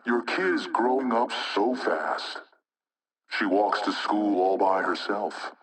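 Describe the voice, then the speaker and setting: An actor playing an anonymous male hacktivist spokesman in a video game propaganda broadcast, speaking in a measured, rhetorical, insinuating way with menace. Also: fairly low pitch, expressive, distant mic, poor audio. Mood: mocking menace.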